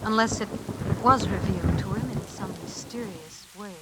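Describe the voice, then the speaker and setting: An actress in a 1940s radio drama playing an anxious, placating wife who speaks hesitantly and apologetically. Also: female voice, mid-range voice, moderate pace, close to an everyday voice. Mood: uneasy, placating. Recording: noisy, crackly 1940s radio recording. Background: rain and storm sounds.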